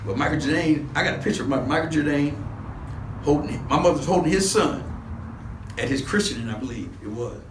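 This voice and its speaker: A man's voice: fairly high pitch, fairly quick, noisy background. A man in his sixties from Los Angeles, reminiscing as an interview guest in rambling street slang with many restarts. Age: 60s. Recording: echoey room, mic at a distance.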